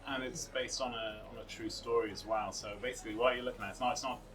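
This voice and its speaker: A man's voice: mid-range voice, fairly even intonation, quick pace. A man in his mid-thirties giving earnest, emphatic, unscripted interview answers outdoors. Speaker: actor. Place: outdoors on a sea front. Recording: distant mic, music behind.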